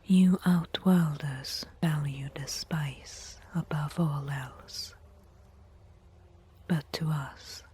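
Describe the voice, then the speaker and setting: A woman voicing a proud, disdainful desert tribeswoman who nurses reluctantly, in a breathy whispered ASMR roleplay with formal phrasing. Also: low voice, warm tone, slight background noise. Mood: proud, solemn. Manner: slow, measured, formal phrasing.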